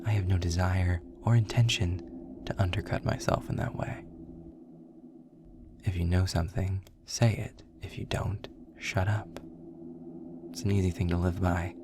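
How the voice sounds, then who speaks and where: A man playing a sarcastic, worldly demon mentor in an intimate audio roleplay, with dry, deliberate phrasing and a low, husky, gravelly voice. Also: steady tone, background noise. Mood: wry, blunt. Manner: dry, sardonic, breathy asides, deliberate phrasing. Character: sarcastic, snarky adult male demon mentor, worldly and proud. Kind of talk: intimate audio roleplay acting, addressed to the listener.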